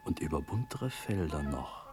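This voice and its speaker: An actor playing a condemned prince, delivering a measured, brooding soliloquy in a classical German verse radio drama. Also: male voice, very deep voice, very animated, over music. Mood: brooding, resigned.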